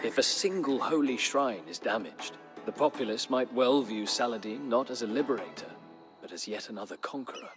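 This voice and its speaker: An actor playing a weary, middle-aged former crusader knight who narrates a game's war chronicle in a solemn, measured, dramatic storytelling manner. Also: male voice, mid-range voice, lightly acted. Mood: grave, reflective. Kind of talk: dramatic scripted first-person narration. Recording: music under the voice. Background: music.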